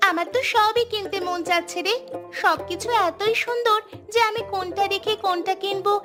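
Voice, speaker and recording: A woman's voice: very high voice, normal speed, music in the background. A woman voicing a sweet, eager young girl in a cartoon, in a childlike, wide-eyed manner. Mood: delighted. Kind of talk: cartoon dialogue acting.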